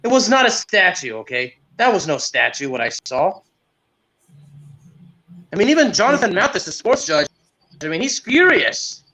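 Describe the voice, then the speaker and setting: A man's voice: sits high, very animated, normal speed, long pauses, pushed and bright, poor audio. A man co-hosting a sports podcast, giving casual, opinionated football commentary and repeating words for stress.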